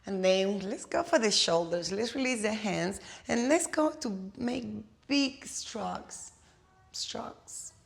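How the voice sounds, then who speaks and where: A woman from Cuba with a Cuban Spanish accent, a native Spanish speaker, guiding a yoga class with slow, soothing cues and playful asides. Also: mid-range voice, lively intonation, vocal fry. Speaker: yoga instructor.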